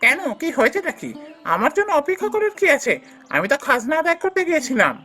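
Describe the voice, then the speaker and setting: A woman's voice: high voice, sing-song, fast talker, pushed and bright, soft background music, full character voice. A woman voicing a greedy, harsh landlord husband in a cartoon fairy tale, snapping and sarcastic. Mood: irritated, scornful. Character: greedy, harsh, scornful son-in-law, rent-collecting landlord.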